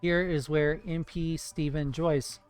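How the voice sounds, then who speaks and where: A man's voice: on the high side, steady tone, takes it slowly, dry recording, soft background music. A man streaming live, chatting casually and profanely with his viewers while gaming, playful and teasing.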